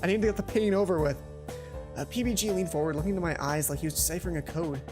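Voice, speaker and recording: A man's voice: on the high side, racing. Man, twenties, visual novel narration, casual commentary, background music. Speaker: let's play host. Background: game music.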